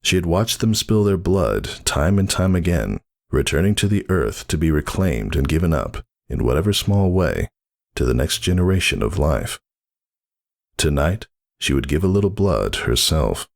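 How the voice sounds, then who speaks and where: A man's voice: deep voice, sing-song, moderate pace, creaky. A man narrating a fantasy story in a dramatic, scripted reading style.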